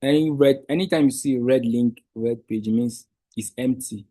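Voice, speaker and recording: Male trainer, native Igbo speaker, husky mellow voice, dry close sound, patient tutorial delivery. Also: mid-range voice, moderate pace, no room sound. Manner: patient step-by-step explaining with restarts. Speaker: Wikidata trainer. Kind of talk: screen-share tutorial.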